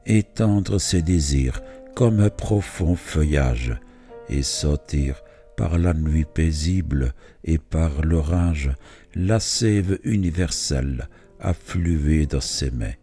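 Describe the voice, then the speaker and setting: Man, very low pitch, bright crisp tone, measured poetry recitation, pausing between phrases, soft music behind. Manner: measured, phrase-by-phrase delivery with pauses. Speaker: poetry reader. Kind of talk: slow literary poetry recitation.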